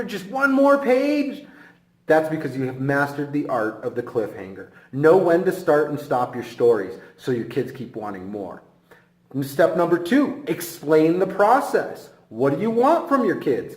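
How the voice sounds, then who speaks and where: A man giving an instructional lesson as a teacher, speaking emphatically and encouragingly straight to the viewer. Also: sits high. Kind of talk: instructional presentation. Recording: echoey room.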